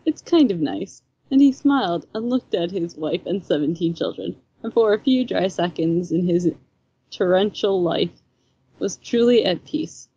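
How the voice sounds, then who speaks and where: Husky woman casually reading a story. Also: fairly low pitch, lively intonation, a little slow, warm tone, dull audio. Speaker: podcast co-host reading fan fiction.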